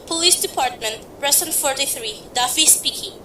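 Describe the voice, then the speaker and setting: A woman voicing a placid male police desk sergeant in an amateur radio play, speaking in an unhurried, dismissive, matter-of-fact way. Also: sits high, expressive, very noisy. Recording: echoey amateur recording.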